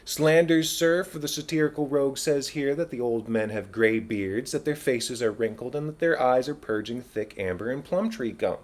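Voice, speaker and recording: A man's voice: mid-range voice, moderate pace, few pauses, natural voice. A man playing a young prince who feigns madness, sardonic and riddling, in a dramatic Shakespeare reading. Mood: mocking.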